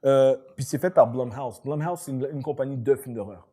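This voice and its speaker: Mellow, laid-back Québécois man. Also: mid-range voice, normal speed. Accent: Quebec French. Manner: laid-back, repeats words, English slang tossed in. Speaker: podcast co-host. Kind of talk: casual chat.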